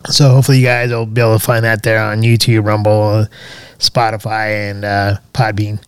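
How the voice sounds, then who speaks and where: A man's voice: on the low side, little pitch movement, normal speed, clear, resonant tone, dry recording. A man co-hosting a podcast in casual chat, talking in a hesitant way with many filler sounds. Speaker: podcast co-host.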